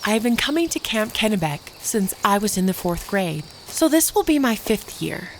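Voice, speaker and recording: A woman playing a wounded preteen camp girl, narrating a horror story in the first person in a deliberate, detached, dramatic way. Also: medium pitch, big pitch swings, a little hiss or hum. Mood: confused, pained. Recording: music behind the voice.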